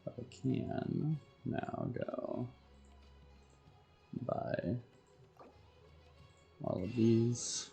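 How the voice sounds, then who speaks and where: A man gives casual solo gameplay commentary, thinking aloud in short fragments. His voice is heavily fried and a little mellow, and he takes his time between words. Soft music plays faintly behind him. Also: mid-range voice, drawn-out words, gravelly, pauses often. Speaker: game streamer.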